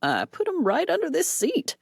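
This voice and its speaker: A woman's voice: high-pitched, wildly swooping pitch, normal speed, gravelly, dry recording, studio quality, pitched above the performer's own voice, full character voice. A woman voicing a quarrelsome male robber underling who complains and snaps back defensively. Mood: complaining.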